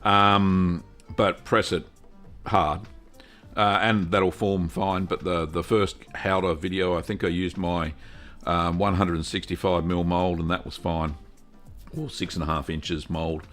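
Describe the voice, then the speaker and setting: A man's voice: low voice, steady tone, measured pace, fairly bright tone, faint music behind. Chatty middle-aged man hosting a livestream. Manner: chatty and upbeat, with frequent ums and restarts. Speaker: cheese-making livestream host. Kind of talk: casual live-stream chat.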